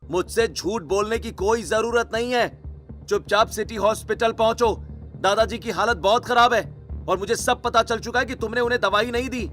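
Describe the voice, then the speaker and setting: Man acting a stern, higher-pitched young husband. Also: normal speed, fairly bright tone, faint music behind. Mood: angry, accusing. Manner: accusing, firm. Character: stern, angry young husband scolding his wife.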